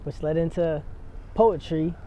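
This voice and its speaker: Laid-back young rapper, muffled outdoor audio. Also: male voice, a little high, measured pace. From San Diego, USA. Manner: laid-back, rambling, heavy on filler words. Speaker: rapper guest. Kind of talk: casual interview chat.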